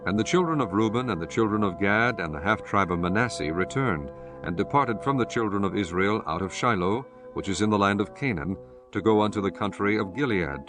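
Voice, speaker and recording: Low-voiced male scripture narrator over music.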